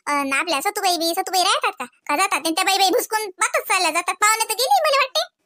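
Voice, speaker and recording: A woman's voice: very high voice, animated, quick pace, bright, forceful tone, dry recording, studio quality, heavily acted. A woman playing a gossipy, complaining cartoon village housewife who chatters colloquially in a Varhadi Marathi accent. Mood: resigned, chatty. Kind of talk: comic cartoon village dialogue.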